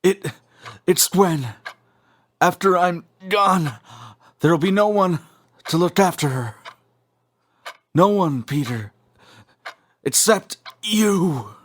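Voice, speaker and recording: A man's voice: high-pitched, expressive, takes it slowly, pauses often, exaggerated voice. A man playing a dying older police captain in a comic dub, gasping and pleading in a weak, strained, fatherly voice. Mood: pleading, fading. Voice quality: breathy, strained. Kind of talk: dramatic comic dub acting.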